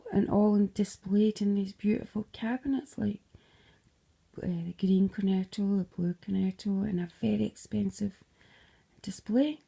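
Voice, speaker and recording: Scottish woman, gravelly low-key voice, rambling and sweary, drops to whispers. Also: steady tone, measured pace, mellow. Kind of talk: casual dream retelling to camera.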